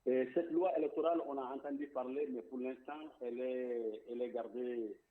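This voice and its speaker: A man's voice: medium pitch, steady tone, a little fast. A man speaking as a diaspora representative on a political radio show, outspoken and emphatic, sometimes repeating words as he thinks. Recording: tinny phone-line sound.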